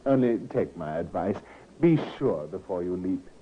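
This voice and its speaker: An actor playing an affable, reassuring cousin in an old-time radio drama, with a breezy manner. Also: male voice, deep voice, expressive, normal speed. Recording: noisy, narrow-band vintage radio recording.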